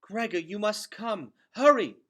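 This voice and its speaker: A man's voice: high voice, expressive, measured pace, bright, forceful tone, pitched above the performer's own voice, exaggerated voice. A man voicing an urgent, headstrong young royal girl in a storybook, breathless and insistent. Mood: urgent, alarmed.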